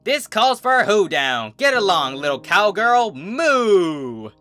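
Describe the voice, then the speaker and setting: A man's voice: high voice, very animated, takes it slowly, pushed and bright, faint music behind, voice pushed up, exaggerated voice. A man voicing rowdy cartoon cattle of a cowboy herd, hooting and hollering in a storybook reading. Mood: jubilant.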